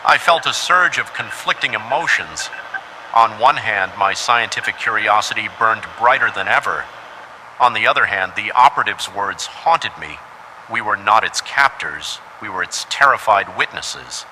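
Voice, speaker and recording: A man's voice: fairly low pitch, animated, normal speed, sharp tone, slight background noise, rough recording. A man reading a scripted first-person horror story as its narrator.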